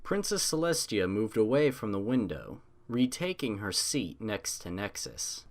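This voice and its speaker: A man's voice: fairly low pitch, sing-song, unhurried. A man narrating a scripted fantasy story in a dramatic audiobook style.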